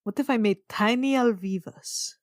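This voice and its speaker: A woman plays a tabletop roleplaying game, speaking casually in character. Her voice is a bit high, her delivery plaintive and mock-dramatic, and the recording has a dry, close sound. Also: normal speed, no room sound. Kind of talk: casual in-character improvised chat.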